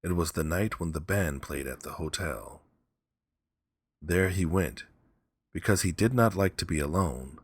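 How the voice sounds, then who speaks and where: A man narrating a story as an audiobook reader, in measured, simple phrasing. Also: low voice, expressive, husky.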